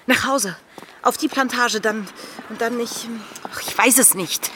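A woman playing a spirited, impulsive young plantation daughter in a radio play, breathy and intense. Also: a little high, a little slow, noisy background, natural voice. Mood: agitated. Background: music.

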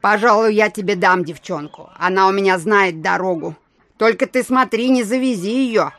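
A woman's voice: medium pitch, normal speed, fairly bright tone, dry recording, close to an everyday voice. An actress playing a fussy, thrifty old country landlady in an audio play, with homely, fretful speech. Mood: wheedling, wary.

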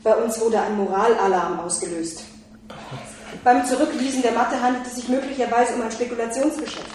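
An actress playing a formal financial police officer making official announcements in a radio play. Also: female voice, on the high side, fairly quick, a little mellow, a little hiss or hum, natural voice. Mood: official, stern. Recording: echoing, distant, crowd behind.

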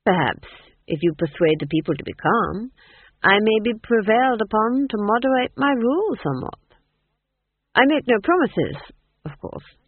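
A woman's voice: on the high side, sing-song, moderate pace, slightly rough, no echo, phone-quality sound, pitched above the performer's own voice. A woman voicing an unhinged male duke in an audiobook, pompous and falsely cheerful, with a wheedling manner that swings in mood. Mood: silky threatening.

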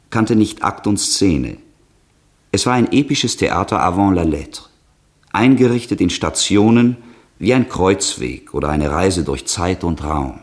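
A man narrating a 1960s German radio drama introduction in a formal, solemn, measured, declamatory way. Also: low voice. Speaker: announcer and narrator.

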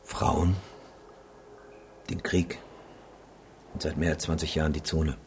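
A man's voice: rumbling low, flat delivery, slow pace, slight background noise. An actor in a radio drama playing a world-weary, sceptical male war photographer with a dry, cynical manner. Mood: dry, dismissive.

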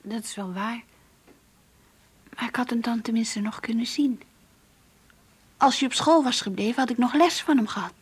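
An actress playing an earnest, devout, brooding schoolgirl in a radio drama, confiding intensely in a husky, breathy voice. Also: on the high side, moderate pace, long pauses. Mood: wistful. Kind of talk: radio drama acting with diary-like narration.

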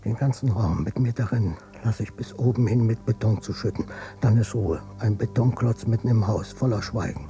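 A man's voice: fairly low pitch, normal speed, dark tone, faint music behind, lightly acted. A male actor playing a bitter, stubborn old father, a former policeman, brooding and obstinate, in a German radio drama. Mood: defiant. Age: older. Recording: noisy cassette copy of radio play.

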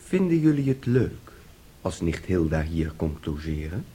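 An actor playing a naive, eager, childlike son who speaks simply and trustingly in a radio play. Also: male voice, deep voice, big pitch swings, a little slow, warm tone, husky, full character voice.

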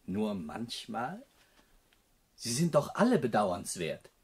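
A man playing an earnest, idealistic young scholar in a German radio drama, husky and lecturing, easily flustered. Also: fairly low pitch, huge pitch swings, takes it slowly, a little mellow, exaggerated voice. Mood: earnest, indignant.

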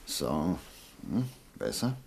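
A man's voice: very deep voice, sing-song, drawn-out words, a little mellow, a little hiss or hum, exaggerated voice. An actor in a German radio play, playing a protective man who soothes his beloved in an insistent, emotional manner. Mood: soothing.

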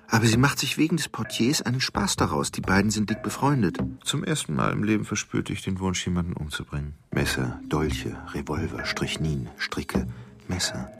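An actor playing a brooding middle-aged clerk seething with murderous rage, in an intense radio drama monologue. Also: male voice, heavily acted. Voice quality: low, gravelly, husky.

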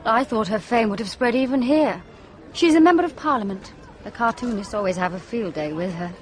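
An actress playing an outspoken young woman doctor with an English accent in a radio drama, forthright and expressive. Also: fairly high pitch, brisk, close to an everyday voice. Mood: amused, chatty.

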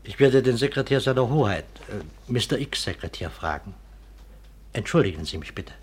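An actor in a 1950s German radio play playing a discreet, deferential, very proper household servant. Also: male voice, medium pitch, animated, normal speed, a little hiss or hum, natural voice.

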